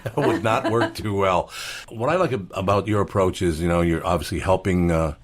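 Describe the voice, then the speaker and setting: A man's voice: low voice, a little fast. A man hosting a radio interview, talking in a rambling way with many fillers. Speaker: radio host.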